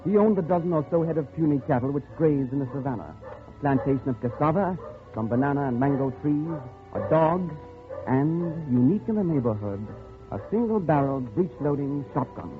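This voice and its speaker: A man playing a refined, weary traveller in an old radio play. He speaks politely and a little hesitantly, with an animated, slightly high voice. The recording is thin and narrow, like a vintage broadcast. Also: brisk, music in the background, lightly acted. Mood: reflective narrating. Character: educated male traveller, polite, weary, uneasy jungle convalescent. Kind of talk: 1950s radio drama acting and first-person narration.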